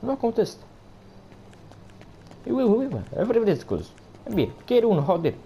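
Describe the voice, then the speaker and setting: A man's voice: sits high, very animated, unhurried, gravelly, dull audio, slight background noise, exaggerated voice. A man putting on the voice of a crude, boastful, foul-mouthed rich foreigner with broken grammar, commentating a game in character. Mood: rude. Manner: swears constantly, broken grammar, brash and rude.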